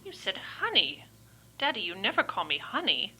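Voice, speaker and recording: A woman's voice: medium pitch, huge pitch swings, moderate pace, pushed and bright, breathy edge, exaggerated voice, poor audio. A woman playing an anxious young daughter in hiding, speaking on the telephone in an old-time radio drama. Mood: anxious, secretive.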